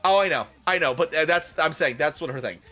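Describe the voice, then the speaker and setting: Brief: male streamer, forceful bright delivery, theatrical and joking, swears freely, guitar music underneath. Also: fairly high pitch, fairly quick. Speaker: livestreamer and host. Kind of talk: dramatic reading of fan fiction with casual asides to chat.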